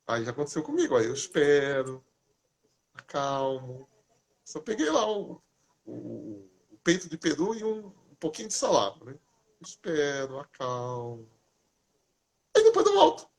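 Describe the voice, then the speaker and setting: A man hosts a casual live chat and gives tips in a lively, chatty way. His voice sits a bit high and swings widely in pitch. It has a husky edge. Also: unhurried, pauses often. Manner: lively, chatty, asks questions then answers himself. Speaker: host. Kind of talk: casual live talk giving diet tips.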